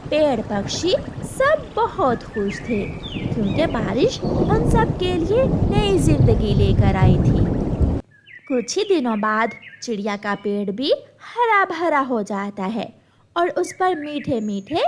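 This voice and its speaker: A woman's voice: high-pitched, big pitch swings, slow pace, heavy background noise. A woman storyteller reading scripted narration for a children's cartoon tale.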